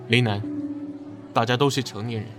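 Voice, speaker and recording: An actor playing a cold, impatient, dismissive boyfriend in a radio drama. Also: male voice, mid-range voice, steady tone, normal speed, lightly acted. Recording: music behind the voice.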